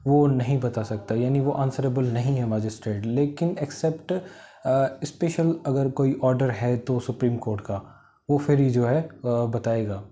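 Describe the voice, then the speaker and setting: A man's voice: mid-range voice, mellow. A man with a Pakistani accent giving an informal, explanatory law lecture, mixing English legal terms into his explanations.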